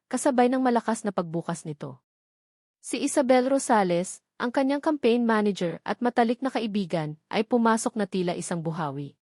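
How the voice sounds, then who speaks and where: Filipina narrator, dry close sound. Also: female voice, medium pitch, a little mellow, no room sound. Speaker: story narrator. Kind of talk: dramatic scripted narration of a love story.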